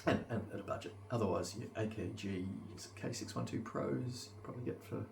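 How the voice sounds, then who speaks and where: A man's voice: on the low side, a little fast, vocal fry. A man giving a casual, rambling commentary on gear and gameplay, hesitant and thinking aloud. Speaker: headphone reviewer and gamer. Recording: roomy, distant mic with faint background noise.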